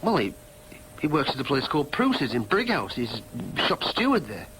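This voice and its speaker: A man's voice: mid-range voice, very animated, moderate pace, fairly bright tone, rough recording. An actor playing an earnest, bewildered young working-class man, hesitant and stammering, in a radio drama. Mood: astonished. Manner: hesitant, stammering, plain-spoken.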